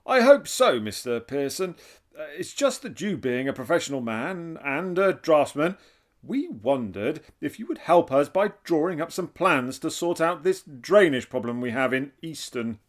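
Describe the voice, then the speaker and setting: A man acting a genial mayor in a radio play, with a fairly high male voice and very lively intonation. He is deferential and polite, a little hesitant. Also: normal speed. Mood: polite, hopeful. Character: genial, deferential small-town mayor asking a favour. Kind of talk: scripted audio drama acting.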